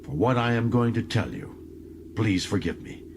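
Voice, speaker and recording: A man's voice: on the low side, animated, moderate pace, noisy background. Man playing a scornful, fierce young alien prisoner. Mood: ashamed. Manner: scornful, dramatic, intense. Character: bitter, proud young male alien prisoner, fierce and contemptuous.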